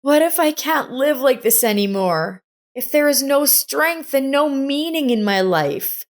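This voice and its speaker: A woman's voice: high-pitched, lively intonation, unhurried, pitched above the performer's own voice. A woman narrator voicing a wary, despairing young woman in a story, plaintive and questioning.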